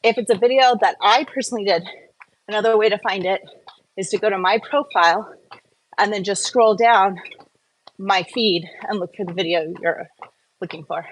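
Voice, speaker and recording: A woman's voice: medium pitch, moderate pace, pauses often, slight background noise, poor audio. A woman hosting a casual live Q&A, talking in an informal, rambling, chatty way. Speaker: live-stream host.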